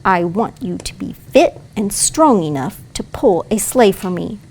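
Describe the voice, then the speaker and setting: A woman playing a bossy, brusque robber girl with a hidden soft heart in an audio play. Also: mid-range voice, expressive, unhurried, a little hiss or hum. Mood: irritated. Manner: brusque, commanding.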